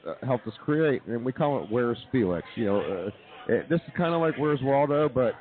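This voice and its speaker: Quick-talking male radio host, thin band-limited sound. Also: medium pitch, lively intonation. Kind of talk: live comedy show banter. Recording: thin phone-like sound with audience noise.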